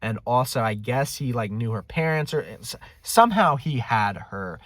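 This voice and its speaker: A man's voice: mid-range voice, lively intonation, normal speed, a bit bright, no room sound. A man telling anecdotes in a casual, rambling, self-deprecating way, swearing freely as he hosts a video. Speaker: video host. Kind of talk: casual rambling storytelling to camera.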